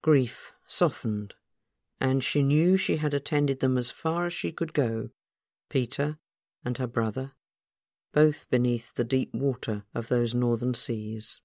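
A woman reading literary fiction aloud as a scripted narration, in a measured, careful storytelling manner. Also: rumbling low, a little mellow, no room sound, clean studio sound. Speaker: author reading own short story.

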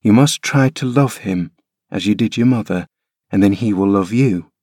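A man's voice: fairly low pitch, normal speed, dark tone, no room sound, studio quality, natural voice. A man reading aloud as a kindly, practical housekeeper who coaxes and reassures a child. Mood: coaxing. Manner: coaxing, reassuring.

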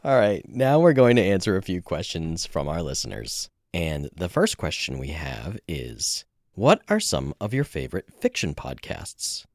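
Low-voiced man co-hosting a podcast. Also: huge pitch swings, moderate pace, dry recording, studio quality. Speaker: podcast co-host and audio editor. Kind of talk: casual podcast chat.

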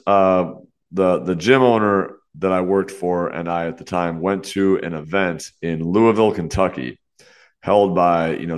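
Deep-voiced, congested man hosting a podcast. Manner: earnest, fast talk with many "you know" fillers. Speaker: podcast host and fitness coach. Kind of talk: solo podcast talk.

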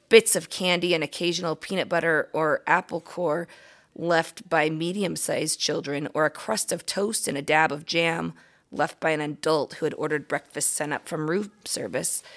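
A woman with a fairly low voice and plenty of fry reads aloud from a children's book. She is a guest story reader who delivers the tale expressively. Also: fairly bright tone.

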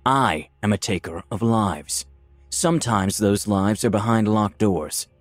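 A man voicing a cool, sardonic male assassin in a fantasy story, speaking in a flat, deliberate way. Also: lowish voice, dry recording, faint music behind. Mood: cold. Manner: soft, flat, deliberate, matter-of-fact. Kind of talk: dramatised fantasy story reading.

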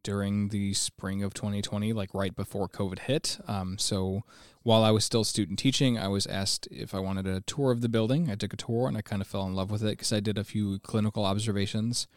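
A man's voice: lowish voice, steady tone, fairly quick, smooth, no echo, studio quality. A man in his twenties with an American accent, giving enthusiastic, explanatory answers in a conversational interview as a teacher. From United States. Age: 20s. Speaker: ESL teacher, interview guest.

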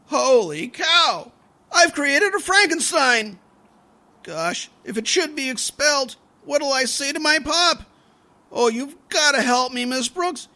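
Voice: high-pitched, lively intonation, slow, bright, forceful tone, full character voice. An actor playing a scheming, slangy teenage schoolboy in an old-time radio comedy, theatrical and wheedling. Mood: panicked.

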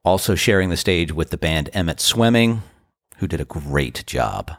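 Man, 50s, from the DC area, very low voice, dry close sound, tired post-show voice, earnest rambling podcast talk. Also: very animated, normal speed, no room sound. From Washington DC area. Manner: earnest, rambling, grateful storytelling. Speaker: podcast host and band musician. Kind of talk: casual reflective monologue.

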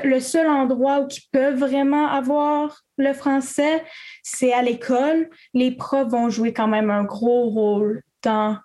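A woman's voice: fairly high pitch, darkish tone. A young woman from Quebec, answering as a student panelist in a casual, hesitant way with many fillers.

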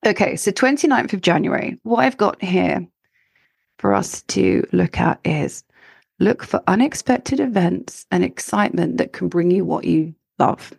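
A woman astrologer hosting her own podcast, her voice croaky from illness, chatting and rambling with frequent restarts and fillers. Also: on the low side, lively intonation, measured pace, a little mellow, no room sound, clean studio sound. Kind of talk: solo podcast talk.

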